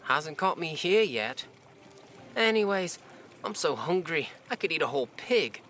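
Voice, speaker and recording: A man's voice: fairly high pitch, big pitch swings, a little slow, a bit bright, faint music behind. A man playing a breezy, privileged young lord's son in an audio drama, chatty and flippant.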